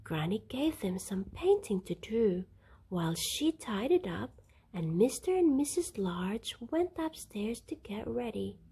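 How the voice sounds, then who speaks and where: A woman reading a children's bedtime story aloud in an expressive narrating style. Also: mid-range voice, measured pace, slightly rough. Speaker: storybook reader.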